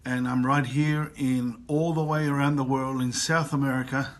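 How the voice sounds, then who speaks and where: A man's voice: mid-range voice, level tone, normal speed. A man in his fifties from Chile, giving an earnest motivational talk and repeating phrases for emphasis. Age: 50s. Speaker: motivational coach. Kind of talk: personal motivational talk to camera. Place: travelling abroad.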